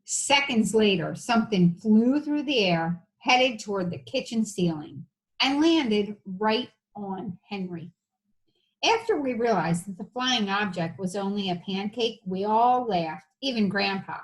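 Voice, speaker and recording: A woman's voice: medium pitch, lively intonation, unhurried, rough recording. A woman reading a children's storybook aloud like an elementary teacher, with casual asides to the listeners.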